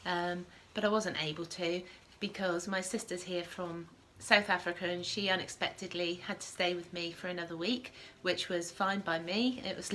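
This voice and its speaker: Woman podcast host, slightly low voice, sniffly cold, rambling casual chat, faint home hum. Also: sharp tone. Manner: chatty, rambling, self-correcting with frequent ums.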